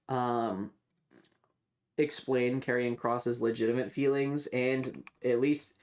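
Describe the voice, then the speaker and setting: A male streamer gives casual, off-the-cuff commentary at an unhurried pace. He rambles and halts, circling back over his thoughts and swearing casually. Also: mid-range voice.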